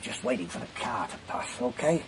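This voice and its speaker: An actor playing a matey, brisk detective inspector in a radio drama, talking in clipped, colloquial phrases. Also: male voice, a little hiss or hum, natural voice. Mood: casual, guarded.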